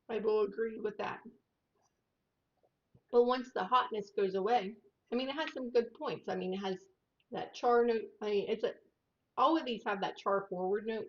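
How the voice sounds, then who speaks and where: A woman co-hosting a whiskey tasting livestream, talking softly and hesitantly with many fillers, picked up by a microphone above her. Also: mid-range voice. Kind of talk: casual tasting chat. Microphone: overhead microphone above the table. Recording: dull lo-fi overhead mic.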